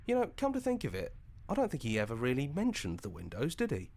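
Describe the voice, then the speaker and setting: A man voicing an earnest, soft-spoken young hero in a video game, thoughtful and loyal. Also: medium pitch, wildly swooping pitch, fast talker, gravelly, heavily acted. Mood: wary, thoughtful. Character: earnest heroic young man, gentle inner voice and loyal companion. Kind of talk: visual novel game voice acting.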